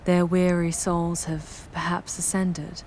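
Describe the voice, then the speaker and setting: A seductive, ominous demoness played by a woman guides a mortal in an ASMR audio drama. Her voice is deep for a female and unhurried, with breathy, half-whispered phrasing. Faint noise and ambience sit behind the ornate, measured delivery. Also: flat delivery, a little mellow. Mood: ominous, solemn. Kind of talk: scripted ASMR audio roleplay, dramatic monologue.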